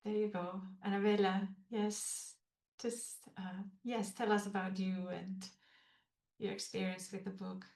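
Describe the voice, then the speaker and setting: A woman's voice: medium pitch, little pitch movement, measured pace, darkish tone, roomy sound. A woman hosting a podcast over a video call, talking with many hesitations and fillers. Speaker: podcast host.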